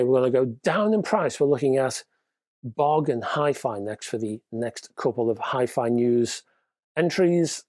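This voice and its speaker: A man's voice: medium pitch, darkish tone, no room sound. A man hosting a hi-fi news roundup, reading out product details in a casual, chatty way with offhand asides. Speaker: host.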